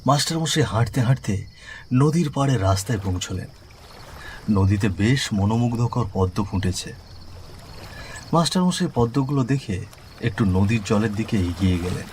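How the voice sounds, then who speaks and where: A man's voice: fairly low pitch, expressive, normal speed, long pauses, darkish tone, slight background noise. A man giving scripted narration of a horror story. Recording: music behind the voice.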